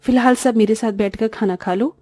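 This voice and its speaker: A young elder sister, played by a woman in a cartoon story, with a soft, dark-toned voice. She sounds burdened and tired yet caring and reassuring. The sound is dry and close. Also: fairly high pitch, steady tone, a little fast, no echo, soft background music, natural voice. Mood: sad, reassuring. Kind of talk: Hindi cartoon story voice acting.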